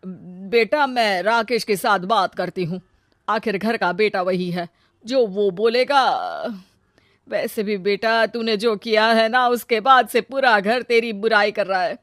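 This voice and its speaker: A woman's voice: medium pitch, a little slow, a bit bright, dry recording, studio quality, close to an everyday voice. A woman voicing a protective, worldly middle-aged mother in a family story, motherly and emphatic. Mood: reproachful.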